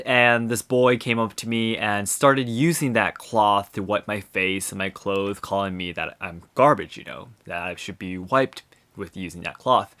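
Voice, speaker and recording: Male podcast host, lowish voice, earnest and reflective, works through his points examples. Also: sharp tone. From Japan. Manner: earnest, thoughtful, explains with examples and frequent "you know".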